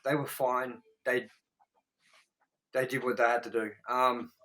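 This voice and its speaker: A man's voice: mid-range voice, little pitch movement, measured pace, a bit bright, rough recording. A man co-hosting a casual film review chat, speaking bluntly and emphatically with plenty of swearing. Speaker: co-host of a movie review show.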